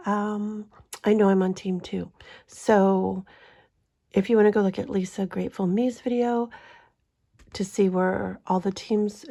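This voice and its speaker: Woman w a cold, stuffy and kinda gravelly, rambly casual chat w lots of ums. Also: mid-range voice, mellow. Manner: chatty and rambling, with frequent ums. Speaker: budgeting channel host.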